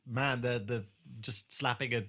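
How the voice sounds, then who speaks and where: A man chatting casually as a podcast host, recapping a TV drama in a halting way with searching pauses. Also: mid-range voice, fairly even intonation, a bit bright.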